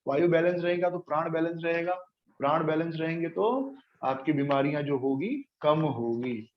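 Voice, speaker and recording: A man's voice: fairly high pitch, lively intonation, normal speed. Man, spiritual teacher, explanatory lecture, rhetorical questions to listeners, English terms mixed in, muffled lo-fi recording, faint background hiss. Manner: asks the listeners rhetorical questions and checks they follow. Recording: echoey room, dull lo-fi sound, faint noise.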